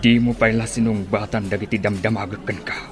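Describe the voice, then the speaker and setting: A man's voice: on the low side, fairly even intonation, a little fast, music in the background, close to an everyday voice. A man playing a defiant, insistent old man in an Ilocano radio drama.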